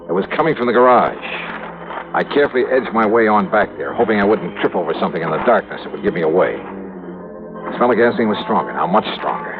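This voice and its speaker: A hard-boiled American insurance investigator in a vintage radio drama. His lowish voice swings expressively as he narrates in terse, wry phrases. It comes through a narrow, tinny old broadcast with music underneath. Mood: suspenseful. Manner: terse, wry, clipped storytelling. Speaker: actor in the lead role. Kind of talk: 1950s radio detective acting.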